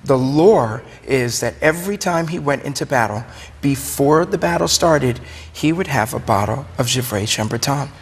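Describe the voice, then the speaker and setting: A man's voice: medium pitch, lively intonation, moderate pace, husky. Brooklyn guy, chatty and keen, explaining stuff in an interview, big echoey reverb. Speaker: wine shop owner, interview guest. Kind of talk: conversational, explanatory interview talk. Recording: long hollow room reverb.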